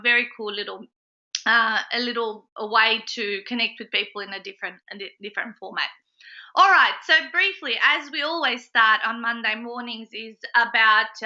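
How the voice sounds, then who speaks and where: A woman's voice: fairly high pitch, pushed and bright, dull audio. Chatty woman with a nasal cold. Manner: chatty, with many ums and uhs. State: has a slight cold, a bit nasal. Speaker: host of a business support group call. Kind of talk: casual webinar talk to members.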